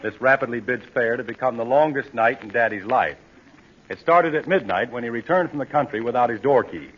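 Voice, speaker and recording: A man's voice: mid-range voice, brisk. Male announcer on dull old radio. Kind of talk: 1940s radio scripted narration. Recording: dull old radio recording, faint noise.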